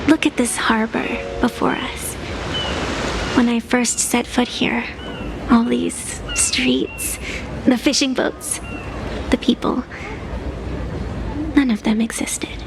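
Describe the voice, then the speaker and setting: A woman's voice: fairly high pitch, steady tone, slow pace, vocal fry, long pauses, noisy background. An actress voicing a playful, philosophical ageless woman in a video game, with a lilting, teasing, theatrical manner. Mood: philosophical, coaxing. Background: background music.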